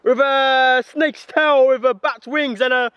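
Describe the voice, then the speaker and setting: A frantic shepherd acted by a young man in a comic storybook sketch, with an extremely high-pitched voice. He yells for help in exaggerated panic, outdoors. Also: fairly even intonation, unhurried, dull audio. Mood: mock panic.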